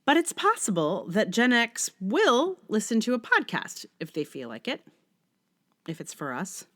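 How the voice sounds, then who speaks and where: A woman's voice: medium pitch, wildly swooping pitch, a little slow, a bit bright. A middle-aged woman hosting a solo podcast, musing in a wry, self-questioning way. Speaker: podcast host. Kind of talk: scripted essay reading, then casual rambling solo podcast talk.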